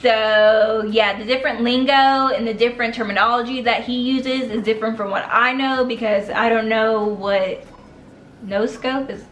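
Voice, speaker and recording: A woman's voice: a little high, fairly even intonation, moderate pace, a bit bright, a little hiss or hum. Chatty young woman in an echoey room. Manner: chatty, loose, many fillers and restarts. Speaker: vlogger giving writing tips. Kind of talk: casual advice vlog.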